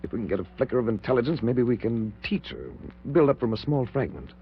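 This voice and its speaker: A sensible doctor friend in a vintage radio horror play, heard through a muffled, faintly noisy recording. He reasons and coaxes with highly animated intonation and an avuncular air. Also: fast talker. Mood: coaxing, calm. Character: sensible, concerned doctor friend of obsessed scientist. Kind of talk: 1940s radio horror acting.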